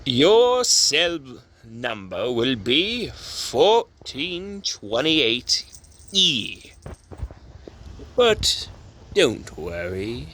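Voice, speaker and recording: Man voicing a smug, slow-talking sinister institution doctor. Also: medium pitch, sing-song, pushed and bright, voice pushed up, heavily acted. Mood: mock-reassuring. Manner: drawn-out, theatrical, mock-courteous delivery. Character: smug, menacing male institution doctor, mockingly polite.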